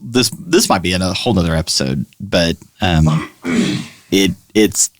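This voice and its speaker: Low-pitched man explaining thoughtfully. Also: normal speed. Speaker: podcast co-host. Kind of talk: casual chat.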